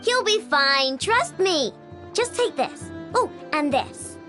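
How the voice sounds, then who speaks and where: Kid genius inventor girl, game voice, really high n bouncy, cheeky, boastful. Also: big pitch swings, takes it slowly, bright, forceful tone, faint music behind, heavily acted. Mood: breezy, confident. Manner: breezy, self-assured, childlike. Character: cheeky young girl inventor, boastful, breezy, snack-loving. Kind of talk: video game voice acting.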